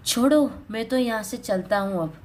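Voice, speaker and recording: A woman's voice: on the high side, animated, moderate pace, a little mellow, close to an everyday voice. A grumpy, dismissive husband, acted out by a woman storyteller. The delivery is brusque and curt, in a family story style, with some noise on the recording. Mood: dismissive, annoyed. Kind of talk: dramatised storytelling.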